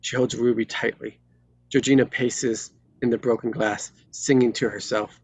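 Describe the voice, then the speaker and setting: Casting: male narrator for screenplay stage directions, raspy with vocal fry, dry close sound. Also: mid-range voice, steady tone, moderate pace, a little mellow, no echo. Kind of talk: scripted narration at a live table read.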